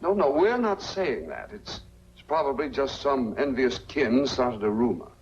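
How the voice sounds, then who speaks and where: An actor playing a fair-minded, cautious small-town police chief in a 1940s film, folksy and deliberate. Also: male voice, medium pitch, very animated. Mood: reluctant. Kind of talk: 1940s film noir acting. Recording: dull, faintly noisy 1940s film soundtrack.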